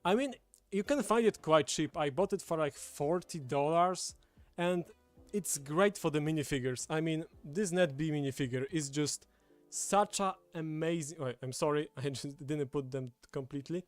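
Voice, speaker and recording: A man's voice: on the high side, moderate pace. A man from Poland, a native Polish speaker with a Polish accent, chatting casually as he hosts a livestream. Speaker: livestream host. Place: indoors at a table, streaming setup. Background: background music.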